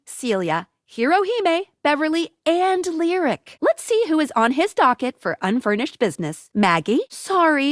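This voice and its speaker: A perky, chatty game guide with a high, lively, swooping voice. She is helpful and a little cheeky, speaking conversationally to the player. The sound is dry and close, like studio game dialogue. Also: no room sound. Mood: helpful, chipper. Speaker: video game voice actor. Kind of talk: dating sim game dialogue.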